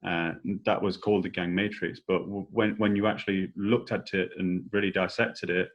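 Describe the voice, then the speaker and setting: Guy hosting a panel, lowish flat voice, measured and thoughtful. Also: highs cut off. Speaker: discussion moderator. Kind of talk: unscripted panel discussion.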